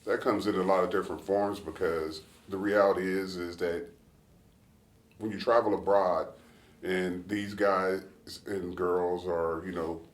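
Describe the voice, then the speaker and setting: A middle-aged man talking casually with his son in an interview, relaxed and often searching for words. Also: sits low, a little slow, distant mic. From United States. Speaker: interviewee, father. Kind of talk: casual family conversation. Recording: roomy, off-mic.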